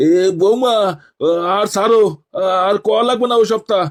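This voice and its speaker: A cartoon grandfather, acted out by a man, old and sore-backed, speaks in a high voice. He groans, stammers and grumbles coarsely as he halts through each sentence. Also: steady tone, moderate pace, close to an everyday voice. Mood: weary, self-pitying. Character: groaning, foul-mouthed old grandfather with backache. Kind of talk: comic cartoon voice acting.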